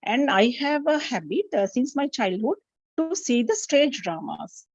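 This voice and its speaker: A woman from Sri Lanka giving an online webinar talk, pausing often with filler sounds. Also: high-pitched, sing-song, darkish tone, no room sound. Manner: hesitant, many "uh" fillers. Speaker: webinar speaker. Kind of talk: presentation talk with many fillers. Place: home during power cut, online call. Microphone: video call. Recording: muffled lo-fi call audio.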